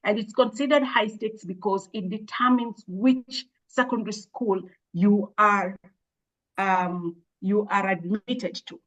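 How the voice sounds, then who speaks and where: A woman, an education expert, answering interview questions in a measured, thoughtful way with frequent hesitations and restarts. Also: medium pitch, steady tone, rough recording. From Kenya. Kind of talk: explaining in an interview.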